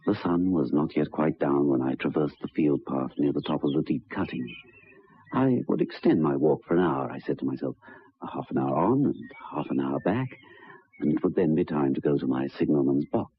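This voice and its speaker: An actor playing a troubled, earnest gentleman who recounts a ghostly tale in formal, deliberate, halting old-time radio style, with a husky voice. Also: very deep voice, animated, heavily acted. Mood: calm, reflective. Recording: dull vintage radio recording.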